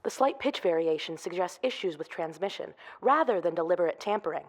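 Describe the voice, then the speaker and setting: A woman's voice: fairly low pitch, lively intonation, a little fast. A woman playing a cautious forensic expert, speaking precisely and formally in a scripted audio drama interview. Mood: cautious, professional. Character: cautious, professional female forensic audio examiner. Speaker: expert podcast guest.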